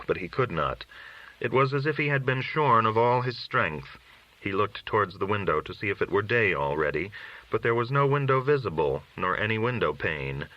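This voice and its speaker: Low-voiced man reading a story, dull sound. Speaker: audiobook reader. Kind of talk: scripted literary narration.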